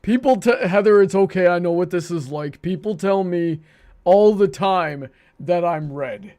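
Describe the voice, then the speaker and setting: A man hosting a livestream with casual banter, talking in a halting, good-humoured way. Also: high-pitched, darkish tone. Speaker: livestream show host.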